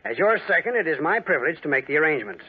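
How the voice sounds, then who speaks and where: An actor playing a sly, scheming sheriff with a knowing, deliberate manner in 1940s radio drama. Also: male voice, a little high, very animated, husky. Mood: confident. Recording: tinny, worn 1940s radio recording.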